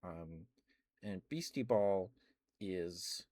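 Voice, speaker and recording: A man talking softly in an ASMR stream, rambling with many ums and restarts and now and then gushing with enthusiasm. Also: sits low, extremely slow, no echo, clean studio sound. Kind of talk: soft-spoken ASMR rambling.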